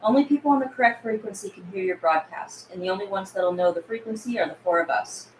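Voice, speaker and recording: A woman's voice: medium pitch, fast, natural voice. A woman playing a cynical, shrewd underground boss with a sardonic, hard-edged, profane delivery in a zombie film script read. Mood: businesslike, dry. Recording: roomy, echoey with room noise.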